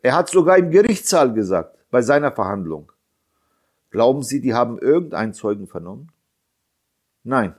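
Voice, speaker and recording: A man's voice: medium pitch, very animated, moderate pace, pauses often. Indignant German man around fifty. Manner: indignant, emphatic, repeats key points; sharp rhetorical questions. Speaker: interviewee, bereaved father. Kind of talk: impassioned interview testimony.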